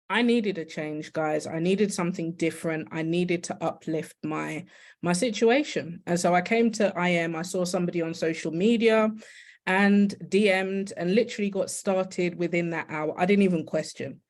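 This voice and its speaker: A woman from the UK with a British accent speaks as a guest educator online. Her voice sits a little low, and she tells her story in a chatty, informal way, often turning to her listeners. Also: a little mellow. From United Kingdom.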